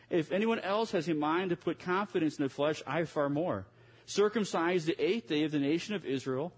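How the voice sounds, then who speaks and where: A man's voice: fairly high pitch, husky, low-quality recording. A man preaching a sermon in a conversational way, mixing scripture reading with self-mocking asides. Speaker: pastor.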